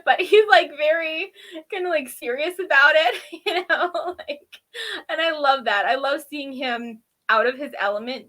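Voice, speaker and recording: A woman giving a casual, chatty episode recap as a show co-host, giggly and animated, acting out imagined reactions. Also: high voice.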